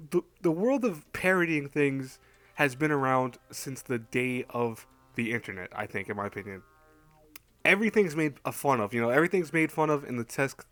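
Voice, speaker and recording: A man's voice: mid-range voice, a bit bright. A man hosting a YouTube show with casual opinion commentary, often hedging and correcting himself mid-sentence. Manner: hedging, halting, self-correcting. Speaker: YouTube host.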